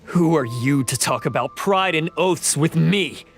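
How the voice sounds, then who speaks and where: A man's voice: fairly high pitch, unhurried. A man voicing a bitter, defiant young oni outcast turned thief in a video game, scornful and intense.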